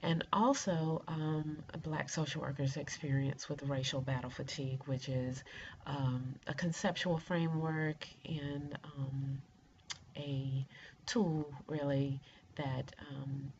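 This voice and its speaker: Low, raspy woman with a cold. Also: fairly even intonation, slow, darkish tone. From rural Georgia, United States. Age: middle-aged. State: congested with a cold. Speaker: doctoral student introducing herself. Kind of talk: informal self-introduction to an online class. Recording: muffled lo-fi video, faint noise.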